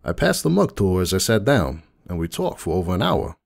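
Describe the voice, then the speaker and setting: Man acting a folksy, gravelly, low-voiced donut shop unicorn. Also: very animated, brisk, exaggerated voice. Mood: fond, reminiscing. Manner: folksy, chatty, reminiscing storyteller. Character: friendly middle-aged male unicorn donut shop owner, proud, nostalgic. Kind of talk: dramatic fan fiction reading in character.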